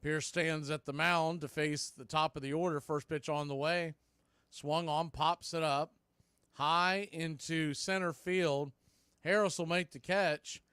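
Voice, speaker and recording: A man calling live baseball on the radio, mixing clipped pitch calls with conversational, partisan asides. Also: steady tone, a little slow, fairly bright tone, smooth, no echo, clean studio sound. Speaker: baseball radio play-by-play announcer. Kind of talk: live sports commentary.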